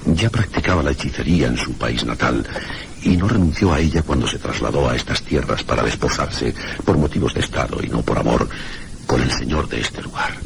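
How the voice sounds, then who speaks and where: An actor with a Castilian Spanish accent playing a mysterious, scholarly gentleman guide in a radio drama, speaking formally and deliberately in a gravelly voice. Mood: solemn, insinuating. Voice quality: very deep, gravelly. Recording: noisy radio drama recording.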